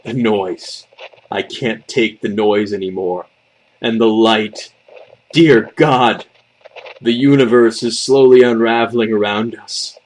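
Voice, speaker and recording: A man's voice: fairly low pitch, fairly even intonation, slow, pitched above the performer's own voice, poor audio. A man voicing a doomed witness to the end of the world in an anguished, dramatic creepypasta reading. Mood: anguished panic. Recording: faint background noise.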